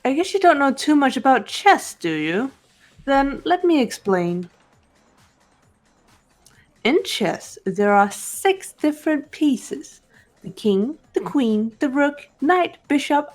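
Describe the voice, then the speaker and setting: A woman voicing a calm, cool-headed young female detective who lays out her reasoning in a fan dub of a game. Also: fairly high pitch. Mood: explaining. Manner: measured, explanatory.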